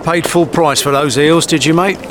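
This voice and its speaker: Male actor as weary London tough for audio drama; teasing, blunt, slightly higher voice, noisy city backdrop. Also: fairly even intonation, normal speed, natural voice. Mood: sardonic teasing. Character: weary, sardonic working-class London ex-soldier ex-convict. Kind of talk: naturalistic audio drama acting. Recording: loud street and traffic noise.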